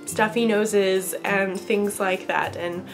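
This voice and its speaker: A woman's voice: mid-range voice, fairly even intonation, slow, vocal fry, soft background music, low-quality recording. A young woman host with a stuffy, allergy-congested voice, chatting casually and upbeat about her crafting week. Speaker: podcast host. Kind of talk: casual crafting chat to camera.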